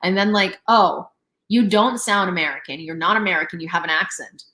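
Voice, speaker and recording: A young woman from Ukraine with a Ukrainian accent, hosting a casual livestream commentary, sarcastic and animated, laughing between remarks. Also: on the low side, a little fast, echoey room. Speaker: news channel host.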